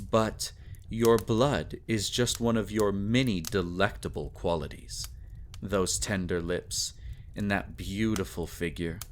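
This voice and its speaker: A man playing a sadistic, possessive vampire lord in a dramatic roleplay monologue, theatrical and taunting, with silky condescension and drawn-out phrasing. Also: lowish voice, a little hiss or hum, natural voice. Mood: possessive, seductive.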